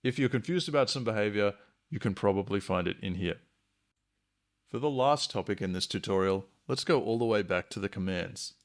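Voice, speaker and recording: A man presents a screencast tutorial in a fairly low voice. There is a clear creak in it, and his delivery is measured and instructional, like someone walking a learner through each step. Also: expressive. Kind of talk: explanatory screencast tutorial narration.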